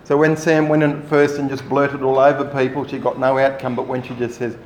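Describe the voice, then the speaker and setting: A man running a training workshop, giving relaxed, rambling instructions to the group. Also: medium pitch, fairly quick. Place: meeting room. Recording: echoey room, faint noise.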